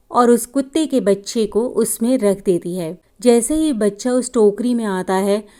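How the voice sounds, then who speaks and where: Mellow, unhurried female storyteller. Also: medium pitch. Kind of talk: scripted children's story narration.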